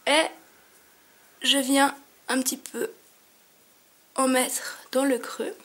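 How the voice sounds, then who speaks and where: Young woman doing a makeup tutorial, high voice, bit of a cold, lots of little fillers. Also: level tone, slow pace. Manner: chatty, many fillers, soft asides. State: has a cold, sniffling. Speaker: beauty vlogger. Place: at home, in front of a mirror.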